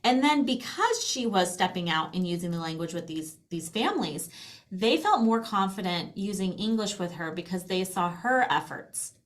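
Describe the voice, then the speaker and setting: A woman's voice: mid-range voice, expressive, normal speed. A woman with a mid-range voice talks as a guest in an interview. She explains in a chatty, conversational way, slipping into little quotes and checking in with tag questions. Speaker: interview guest, company founder.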